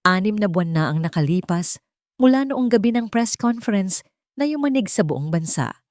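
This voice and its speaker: A woman's voice: mid-range voice, lively intonation, normal speed, darkish tone, dry recording, clean studio sound. A woman narrating a scripted first-person romance story.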